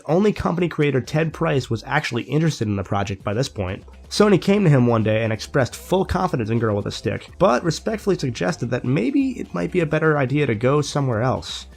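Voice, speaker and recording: A young man narrates a scripted game review at a mid pitch. His voice sounds a little nasal and congested as he gets over a sinus infection. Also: gravelly.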